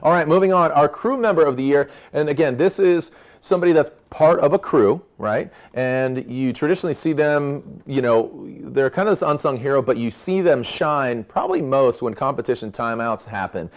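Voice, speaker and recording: Casual male awards host, mid-pitched, slangy and hyped. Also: lively intonation, moderate pace. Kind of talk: casual virtual awards banter.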